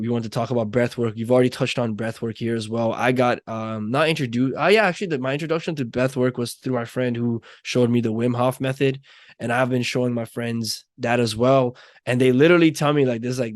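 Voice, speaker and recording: A man's voice: medium pitch, no room sound, studio quality. A young man from Nepal hosting a podcast, chatting casually with lots of fillers and restarts. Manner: rambling, many fillers and restarts. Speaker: podcast host. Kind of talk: casual conversation.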